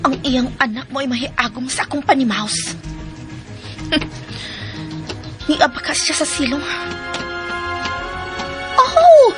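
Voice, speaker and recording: A woman's voice: sits high, sing-song, slow pace, long pauses, heavily acted. An actress with a Filipino Cebuano accent playing a spiteful, scheming woman who menaces a child in a radio drama. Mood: gloating, vengeful. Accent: Filipino, Cebuano-speaking. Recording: music bed under radio drama voice.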